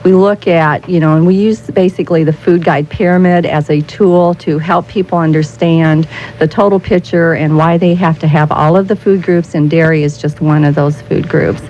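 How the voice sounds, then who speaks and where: An American woman speaking as a guest spokesperson in a radio interview, explaining things in a halting way, often repeating and rephrasing her phrases. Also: sits low, fairly even intonation, a little mellow. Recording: muffled 1990s radio recording with noise.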